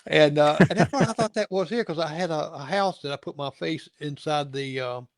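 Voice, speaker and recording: A man's voice: fairly high pitch, fairly quick, no room sound. A man from North Carolina hosting a casual interview, rambling with frequent chuckles and restarts. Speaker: host.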